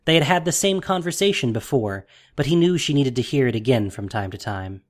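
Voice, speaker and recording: A man's voice: mid-range voice, expressive, a little fast, faint music behind. A man narrating a fantasy audio drama from a script, as its storyteller.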